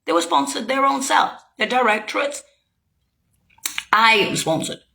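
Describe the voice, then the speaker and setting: A woman's voice: on the high side, lively intonation, measured pace, creaky, sharp tone. A woman speaking a rambling, heated monologue, swearing and accusing as she jumps from thought to thought. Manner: loose, jumping from thought to thought.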